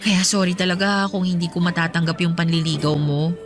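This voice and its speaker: A woman's voice: lowish voice, steady tone, fairly quick, faint music behind, lightly acted. A woman playing a hesitant, apologetic young woman. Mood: confiding. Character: young working woman caring for her family. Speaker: radio drama actress. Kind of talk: radio drama acting.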